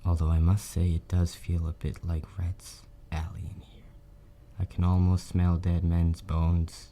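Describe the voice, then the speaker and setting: A man voicing a gloomy man who mutters about death and decay in a low, dragging murmur in an amateur radio drama. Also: completely flat delivery, measured pace, background noise.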